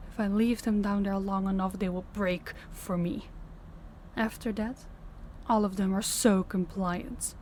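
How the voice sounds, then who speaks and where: Woman doing a stern dominant mafia boss lady for an audio roleplay, formal n deliberate, medium pitch. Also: fairly even intonation, darkish tone, a little hiss or hum, lightly acted. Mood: cold, menacing.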